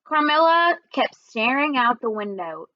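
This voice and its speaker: A woman storyteller reading a first-person children's book aloud in an expressive, measured way. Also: sits high, no echo, lo-fi sound.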